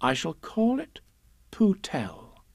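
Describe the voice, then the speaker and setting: A man voicing a calm, thoughtful young boy in a children's storybook, speaking in a considered, matter-of-fact way. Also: on the high side, slow pace, creaky, no room sound, studio quality, pitched above the performer's own voice, full character voice. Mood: pondering.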